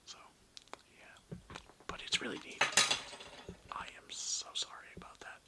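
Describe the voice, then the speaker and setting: An ASMR creator whispering in a breathy, unvoiced voice, rambling informally through a casual show-and-tell with some swearing. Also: unhurried.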